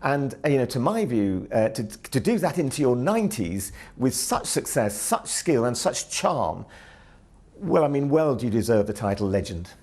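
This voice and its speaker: A man's voice: mid-range voice, very animated, moderate pace, darkish tone. A man giving a spontaneous interview tribute, hesitantly searching for words.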